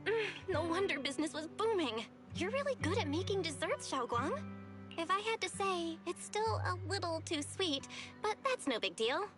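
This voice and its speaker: A woman's voice: extremely high pitch, sing-song, moderate pace. An actress playing a friendly, encouraging young woman in a video game, easygoing and appreciative. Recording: music playing clearly behind the voice.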